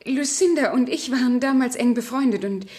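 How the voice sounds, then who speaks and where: A woman's voice: high voice, brisk, a little mellow, close to an everyday voice. Actress as a careful, secretive household woman. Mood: guarded. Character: composed, secretive woman, trusted member of the household. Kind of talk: radio crime drama acting.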